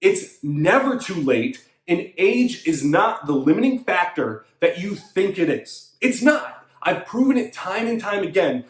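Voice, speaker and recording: Thirties male fitness coach, high voice, emphatic repetitive pep talk, echoey room. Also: sing-song, measured pace. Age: 30s. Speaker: fitness coach and show host. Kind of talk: motivational monologue.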